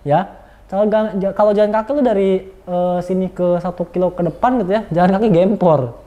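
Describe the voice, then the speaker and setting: A man's voice: high voice, steady tone, brisk, dull audio. A man, giving an informal, slangy, unscripted product review in a chatty way.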